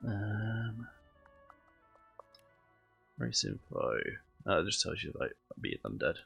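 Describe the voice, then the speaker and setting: A man's voice: on the low side, big pitch swings, slow, vocal fry, fairly bright tone, slightly rough, soft background music. A man with a cold and a stuffy, nasal voice, chatting casually through a video game while streaming, thinking aloud with lots of ums.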